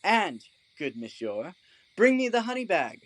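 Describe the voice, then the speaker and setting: Guy playing a silly pompous donkey man, voice swooping all over, fairly high, dry studio sound, stage comedy. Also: big pitch swings, measured pace, a bit bright, dry recording, exaggerated voice. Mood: lordly, indulgent. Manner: pompous, mock-courtly, leisurely. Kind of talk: Shakespearean comic audio drama.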